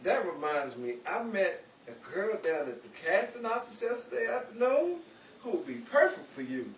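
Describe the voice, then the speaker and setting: A man's voice: high voice, very animated, moderate pace, highs cut off. A man playing a well-meaning, scatterbrained schemer who is forever in trouble, in an old-time 1940s radio comedy style. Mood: eager persuading. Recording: echoey, distant, noisy room recording.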